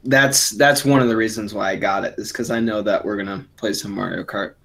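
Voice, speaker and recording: A man's voice: fairly low pitch, fairly even intonation, a little fast, creaky, a bit bright. A man of twenty co-hosting a casual podcast, talking in a rambling way with many restarts and fillers. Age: young adult. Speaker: podcast co-host. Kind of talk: casual chat.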